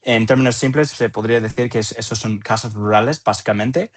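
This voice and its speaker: A man in his thirties with an American accent and English as his first language, answering interview questions in halting phrases with repeated words. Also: fairly low pitch. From Atlanta, southeastern United States. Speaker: company founder and CEO, interview guest.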